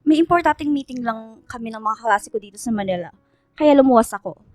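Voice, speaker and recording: An actress playing a feisty, sharp-tongued young provincial woman in a radio drama, sarcastic and indignant. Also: fairly high pitch, animated, fairly quick, no room sound, faint music behind, natural voice.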